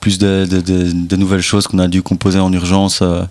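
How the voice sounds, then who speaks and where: Deep-voiced young man, halting. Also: monotone, darkish tone. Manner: halting, many repeated words. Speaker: musician in a band. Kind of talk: casual interview chat.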